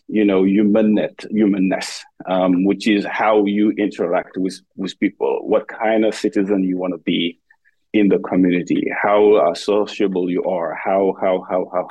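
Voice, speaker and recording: A man from Senegal with a Senegalese accent, a dance professor speaking thoughtfully as an interview guest, often pausing and restarting as he searches for words. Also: low voice, mellow, breathy edge, no room sound, lo-fi sound. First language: Wolof. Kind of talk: conversational interview.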